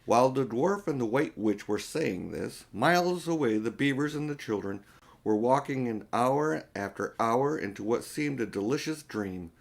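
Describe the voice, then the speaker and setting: Older man, medium pitch, children's audiobook reader, storybook narration, addresses young listeners, occasional word stumbles. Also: expressive, moderate pace, gravelly. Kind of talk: storybook narration read aloud.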